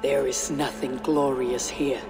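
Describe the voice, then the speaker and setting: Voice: medium pitch, level tone, measured pace, creaky, over music. An actor playing a stern, weary Viking warrior in a video game, blunt and grimly restrained. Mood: disapproving. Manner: terse, restrained, grim. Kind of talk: dramatic video game acting.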